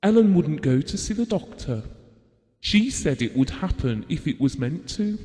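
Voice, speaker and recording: A man's voice: a little high, big pitch swings, moderate pace, warm tone. A man acting a devoted middle-aged husband in an audio drama, reminiscing tenderly and wistfully. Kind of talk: theatrical audio drama monologue and dialogue. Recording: long hall-like reverb.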